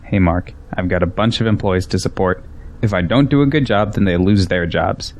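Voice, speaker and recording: A man's voice: low voice, moderate pace, darkish tone. A man playing a defensive, ambitious young businessman in an audio drama, arguing skeptically and proudly. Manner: defensive, argumentative.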